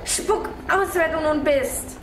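High, distant, frustrated soldier girl acted by a woman. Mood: fierce. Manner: slow, dramatic delivery. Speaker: performer in a student music theatre piece. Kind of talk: experimental opera stage declamation. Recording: echoey, distant, clearly noisy room.

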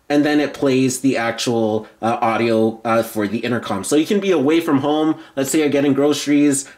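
A man's voice: medium pitch, darkish tone. A man giving an informal, explanatory product demo as a tech reviewer.

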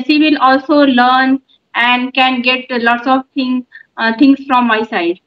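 A middle-aged woman asks questions in a measured, encouraging way with frequent filler pauses. Her voice sits high, and the video-call audio sounds dull and lo-fi. She speaks from a room at home. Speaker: YouTube channel host and mother. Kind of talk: English conversation practice on a video call. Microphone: phone on a video call.

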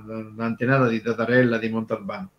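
A man hosting a casual talk on radio history, speaking in a halting, digressive way and often rephrasing himself. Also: fairly low pitch, flat delivery, lo-fi sound. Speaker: radio show host.

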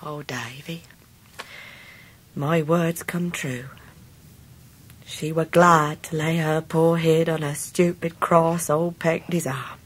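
Husky, motherly country servant. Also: sits low, fairly even intonation, takes it slowly, long pauses, full character voice. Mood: tender, grieving. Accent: English rural. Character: devoted, plain-spoken motherly country servant woman. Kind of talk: radio drama acting.

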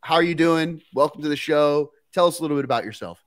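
A man's voice: a little high, fast talker. Upbeat man hosting with a stuffy nose. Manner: upbeat, energetic, gestures while talking. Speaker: host. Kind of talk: live stream hosting. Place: home workshop studio. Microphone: headphones and studio mic on boom.

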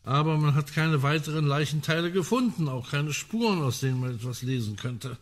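A man's voice: a little high, brisk, natural voice. An actor playing a well-informed male amateur sleuth in a radio crime drama, talking in a dry, precise, ironic way. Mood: matter-of-fact.

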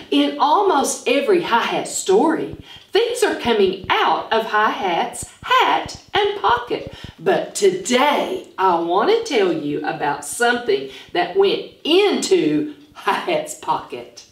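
A middle-aged woman teaching phonics and telling a story to young children, animated and carefully enunciated. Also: fairly high pitch, slow, slight background noise. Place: small classroom, indoors. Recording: roomy classroom, mic at a distance.

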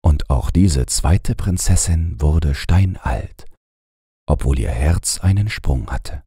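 A man narrating fairy tales as a scripted audiobook, in a measured, expressive storytelling manner. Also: extremely low pitch, breathy edge, no echo, clean studio sound.

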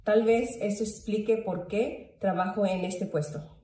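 Mellow woman in an echoey room. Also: medium pitch, steady tone, measured pace. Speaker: employee volunteer presenter. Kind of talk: educational presentation to camera. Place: room at home, indoors. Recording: echoey room, distant mic.

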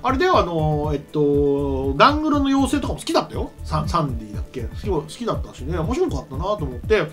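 A man's voice: fairly high pitch, big pitch swings, quick pace, hardly any pauses. A man co-hosting a show, chatting casually and enthusiastically about old games into a studio mic. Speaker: co-host, games writer. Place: small streaming studio, indoors. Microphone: studio mic on a table stand. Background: background music.

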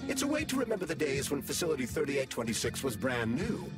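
An actor voicing a polite, prim, talkative AI glove companion with a precise, gently reproving manner in a video game. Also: male voice, mid-range voice, wildly swooping pitch, quick pace, loud music behind the voice.

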